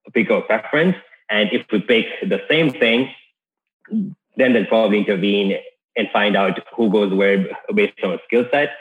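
A man from Kenya, a podcast guest, telling his career story in an easygoing, casual way with many restarts. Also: on the low side. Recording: tinny phone-like line.

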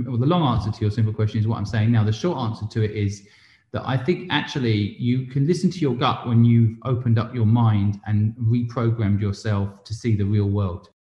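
A man's voice: medium pitch, a little mellow. A man speaking as an interview guest, an entrepreneur explaining his views conversationally with rhetorical and tag questions. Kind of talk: conversational interview, giving business advice. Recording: roomy echo, slightly dull sound.

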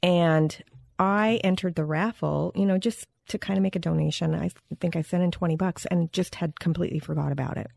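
A woman hosting an interview in a casual, chatty and expressive way, with an informal storytelling style. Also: on the low side, brisk, gravelly, no echo. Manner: informal, expressive, acts out quoted dialogue. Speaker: interview host. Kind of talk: casual chat, animated anecdote telling.

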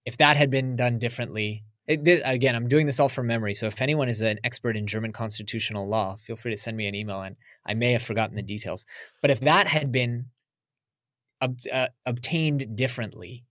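A man's voice: medium pitch, brisk, a bit bright, dry recording. A man from the United States, a podcast guest, talking casually and reflectively in an interview.

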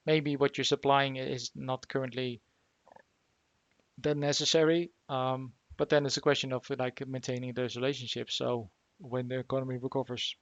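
A man hosting a casual remote interview, talking haltingly with lots of fillers and restarts. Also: mid-range voice, level tone, dry recording, dull audio. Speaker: podcast host.

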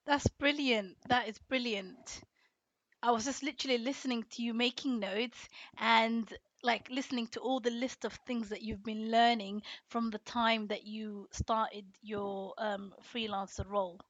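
A woman's voice: fairly high pitch, steady tone, unhurried, fairly bright tone, no room sound, lo-fi sound. A woman hosting a podcast, introducing her guest enthusiastically and repeating her phrases. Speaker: podcast host. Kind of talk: podcast interview, upbeat intro.

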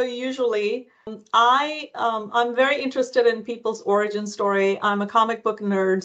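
A woman's voice: fairly high pitch, fairly bright tone. Chatty woman podcast host. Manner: chatty, restarts sentences often. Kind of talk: conversational interview.

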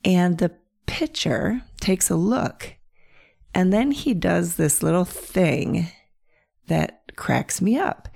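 A middle-aged woman from Pennsylvania hosting her podcast, hoarse and croaky from bronchitis, telling stories in a gentle, playful way. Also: lowish voice. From north central Pennsylvania. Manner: gentle, playful storytelling with soft laughs. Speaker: podcast host. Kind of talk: reflective solo podcast monologue.

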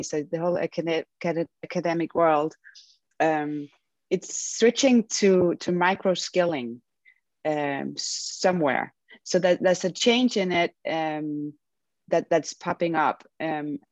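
European woman hosting a podcast, lowish voice, dry close sound, friendly kinda halting questions. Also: darkish tone, no room sound. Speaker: podcast host. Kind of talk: interview hosting.

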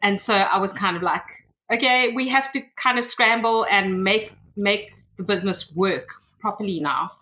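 A woman's voice: medium pitch, moderate pace, bright, forceful tone, low-quality recording. A woman with a South African accent, a guest on a podcast, telling her story in a chatty way with frequent ums. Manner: chatty, hesitant, many ums. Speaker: guest, tech consultant. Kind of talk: casual interview conversation.

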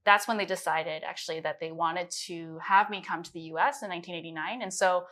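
Bright-toned woman telling her story. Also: lowish voice, fairly quick. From China, raised in the United States. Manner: reflective, detailed storytelling. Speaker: guest, chief science officer. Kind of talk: conversational interview.

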